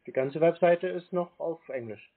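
A man in a phone conversation, answering in a terse, stubborn, deadpan way. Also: on the high side, normal speed. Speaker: scam-baiting call recipient. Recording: narrow-band phone-like sound.

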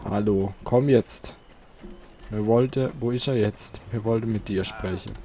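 A man's voice: lowish voice, steady tone, a little slow, dark tone, slight background noise. A man hosting a let's play, chatting casually and thinking aloud while he plays, seated at home. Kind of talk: casual gameplay commentary.

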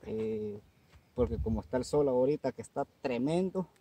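A man's voice: mid-range voice, animated, moderate pace, slightly rough. An older man with a Salvadoran Spanish accent, chatting in a folksy way outdoors in dry countryside while he walks, points out plants and climbs a tree. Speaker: vlog personality. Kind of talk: casual outdoor chat. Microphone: camera held by companion. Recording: loud outdoor noise.

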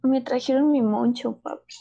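A young woman with a northern Mexican accent talks on a stream in a candid, slangy, laid-back way. Her voice sits a little high, and the audio sounds slightly dull. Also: steady tone, moderate pace, gravelly, dark tone. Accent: northern Mexican Spanish. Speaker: podcast co-host. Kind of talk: casual chat.

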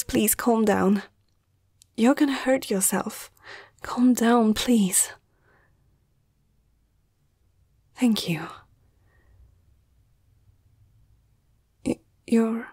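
Woman acting a soft, unhurried, half-whispering caring vet. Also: medium pitch, dry recording, clean studio sound. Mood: soothing. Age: young adult. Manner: gentle, hesitant, slow. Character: young female vet, caring, calm, slightly awestruck. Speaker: ASMR roleplayer. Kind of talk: soft-spoken ASMR roleplay.

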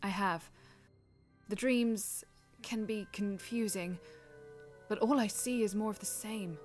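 A woman's voice: mid-range voice, slow, soft background music, natural voice. A woman playing a young, timid female dragon who is eager to please, careful and deferential, in a fantasy audio drama. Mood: careful, uneasy.

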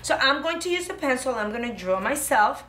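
A woman preschool teacher with a bright, forward voice that sits fairly high. She guides small children through simple steps in short, encouraging phrases and often checks that they follow. There is clear background noise in the classroom. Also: animated, fairly quick. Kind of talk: simple step-by-step instruction for young children.

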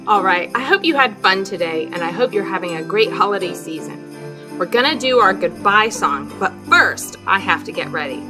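A middle-aged woman telling stories and talking to young children as a storytime host, with an expressive, deliberate sing-song delivery and dramatic emphasis. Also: medium pitch, over music, rough recording. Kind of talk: read-aloud storytelling and talk to young children. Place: small indoor room with fabric backdrop.

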